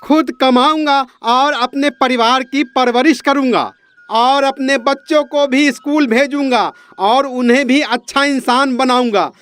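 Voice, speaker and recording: A man voicing a naive, pestering drunken villager in a Hindi moral cartoon, with insistent, drawn-out speech. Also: very high voice, fairly bright tone, clean tone. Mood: remorseful, pleading.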